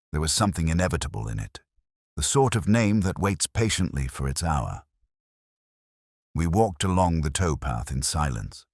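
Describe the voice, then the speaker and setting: A man playing a brooding older detective inspector, narrating an audio drama in a measured, reflective, literary way, solemn and wry. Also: extremely low pitch, no echo, studio quality, heavily acted.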